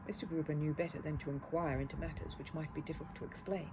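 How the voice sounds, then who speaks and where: A woman reading a children's story aloud as an expressive narrator. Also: low-pitched, fast. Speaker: storybook reader. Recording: clear background noise.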